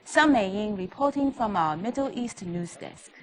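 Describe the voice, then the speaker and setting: A woman playing a composed, patriotic state-television anchor, reading a news report in a formal, measured, official manner. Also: mid-range voice, expressive, natural voice, rough recording. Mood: formal, assured. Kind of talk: scripted TV news report acting.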